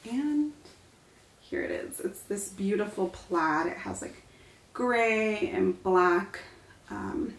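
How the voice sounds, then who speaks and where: A young woman filming a casual home decor haul vlog at home, talking to the camera in a relaxed, chatty, enthusiastic way. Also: medium pitch, slow pace, roomy sound, low-quality recording. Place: living room at home, indoors.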